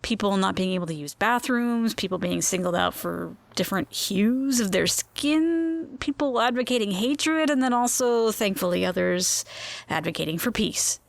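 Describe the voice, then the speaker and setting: A woman's voice: mid-range voice, expressive, moderate pace, vocal fry, dry recording. A woman with a mid-range voice and a bubbly, rambling manner carries this scripted audio drama. The sound is dry and close, with no room around it. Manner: bubbly, chatty, rambling asides. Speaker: in-world radio host.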